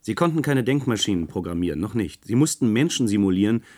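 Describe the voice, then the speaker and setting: An actor as a fast-talking, insistent male conspirator. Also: on the low side, expressive, darkish tone, a little hiss or hum, lightly acted. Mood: confident. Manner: insistent, clipped, explanatory. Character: brisk, nervous male conspirator running a secret line. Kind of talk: German radio play acting.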